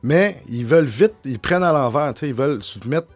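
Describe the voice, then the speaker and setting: A man in his forties with a Québécois French accent, a journalist answering interview questions, talking fast with many restarts and repeated words. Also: a little high, faint music behind. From Quebec. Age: 40s. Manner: lively storyteller. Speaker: journalist guest. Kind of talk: candid conversational interview answers.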